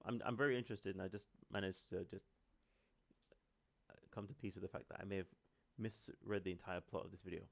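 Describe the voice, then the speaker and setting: A man's voice: low voice, fast, vocal fry, long pauses. A man giving casual, off-the-cuff reaction commentary as a host, chatty and apologetic, speaking straight to his viewers.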